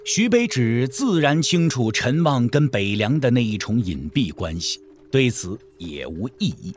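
Male narrator, mid-pitched, very varied intonation, scripted dramatic storytelling, literary delivery. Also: very animated, a little slow, slight background noise.

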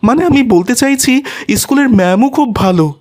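A man's voice: very high-pitched, lively intonation, moderate pace. A man voicing a humble, earnest young schoolboy in a cartoon, speaking politely. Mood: happy. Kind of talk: cartoon voice acting.

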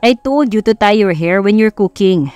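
A woman's voice: mid-range voice, lively intonation, moderate pace, darkish tone, clean tone, dry recording, natural voice. A woman voicing a smug, teasing young husband in an audio love story, flippant and mixing English into Tagalog.

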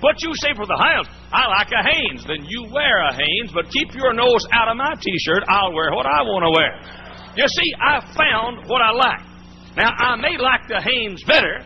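High-voiced folksy preacher on muffled tape. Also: male voice, very animated, normal speed. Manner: folksy, emphatic, repetitive. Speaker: pastor. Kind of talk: sermon. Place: church. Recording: muffled old sermon tape, faint hiss.

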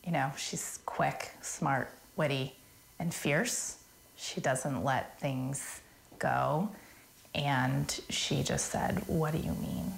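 A woman's voice: very deep voice, slow pace, creaky, slight background noise. A middle-aged American woman telling a personal story conversationally in an office interview. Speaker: interviewee, nonprofit founder. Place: small office. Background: faint music.

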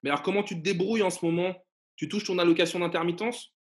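A man's voice: sits high, fairly even intonation, fast. A man hosting an informal debate show over video call, with a casual, teasing manner. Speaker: talk show host. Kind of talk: informal televised debate moderation.

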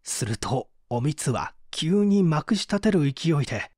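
An actor playing a weary, fatalistic murder suspect giving a dramatic confession in a mystery audio drama. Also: male voice, on the high side, big pitch swings, a little slow, a little mellow, no room sound, studio quality. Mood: weary, bitter. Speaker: voice actor reading a story.

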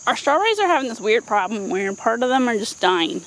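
A woman's voice: a little high, very animated, brisk, rough recording. A woman in her thirties chatting casually and playfully as she gives a garden tour for a vlog. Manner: informal, playful asides. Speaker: vlogger giving a home garden tour. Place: outdoors in a backyard vegetable garden. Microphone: handheld camera microphone. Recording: outdoor handheld camera, noticeable ambient noise.

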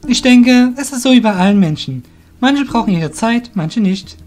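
An eager young apprentice of a shadow guild, acted by a man in a fantasy radio play. The voice is high, light and youthful, with an earnest, polite way of talking. Music plays underneath. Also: animated, normal speed, a little mellow. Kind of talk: amateur fantasy audio drama acting.

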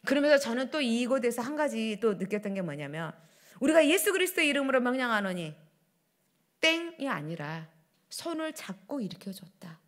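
Animated woman preaching a sermon. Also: on the high side, normal speed. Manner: animated preaching, swings between loud and hushed. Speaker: pastor. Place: church sanctuary, standing at pulpit. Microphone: pulpit microphones.

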